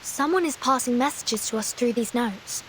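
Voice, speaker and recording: An actress playing a calm, reserved young female leader with a measured, polite manner in a video game. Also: a little high, a little hiss or hum, close to an everyday voice, poor audio. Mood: calm, resolute. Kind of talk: English video game character acting.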